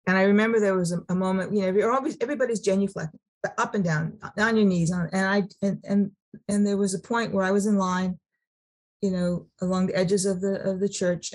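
A middle-aged woman from Sacramento giving a personal testimony, talking haltingly with many restarts and repeated words. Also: medium pitch, fairly even intonation, darkish tone. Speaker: recovering alcoholic sharing her story.

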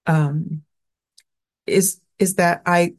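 A woman with a somewhat low voice tells a personal story slowly and thoughtfully. She often stops, repeats words and uses fillers as she searches for what to say. She grew up in the American Southwest. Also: steady tone. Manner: halting and reflective, many restarts and fillers. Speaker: speaker sharing her recovery story.

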